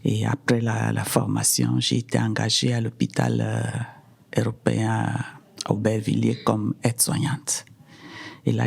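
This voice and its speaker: A middle-aged woman, giving personal testimony in a halting way, often repeating words. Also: extremely low pitch, creaky, husky. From Cameroon. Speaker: podcast guest.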